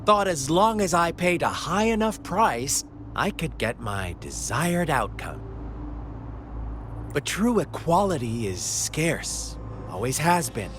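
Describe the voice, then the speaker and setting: A man playing a sly, unhinged game villain who tells a dark tale in a theatrical, taunting, drawn-out way. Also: fairly high pitch, big pitch swings, a little hiss or hum, exaggerated voice. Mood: bitter, mocking. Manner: theatrical, taunting, drawn-out delivery with sudden swoops. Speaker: villain character in a video game. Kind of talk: dramatic storytelling monologue in a game.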